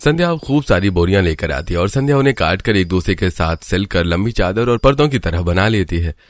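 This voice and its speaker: A man's voice: deep voice, expressive, brisk, dry recording. A man narrating a scripted cartoon storybook tale as the narrator.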